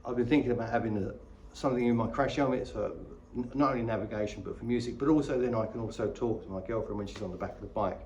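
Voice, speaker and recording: A man's voice: medium pitch, fast, few pauses, darkish tone, muffled recording, slight background noise. A middle-aged man giving a casual, chatty, plain-spoken product review as a vlogger. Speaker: vlogger reviewing motorcycle gear. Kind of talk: casual unboxing and review talk.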